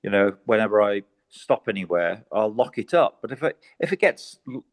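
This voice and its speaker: A man's voice: fairly low pitch, animated, moderate pace. A man from the UK with a neutral British accent, asking a question on a group video call in a hesitant, polite way. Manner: hesitant, repeats words, polite. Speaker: tour participant asking a question.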